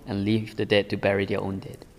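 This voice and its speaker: A man reading the lines of a calm, authoritative teacher in a quiet, grave, slow way. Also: on the low side, close to an everyday voice. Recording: long reverb, like a large hall.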